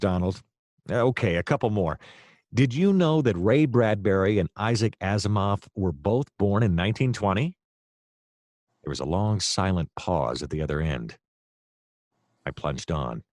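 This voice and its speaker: An actor playing a hapless hard-boiled private detective, narrating his case in a wry, deadpan noir radio-play style. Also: low-pitched, no room sound, clean studio sound. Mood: eager, pushy.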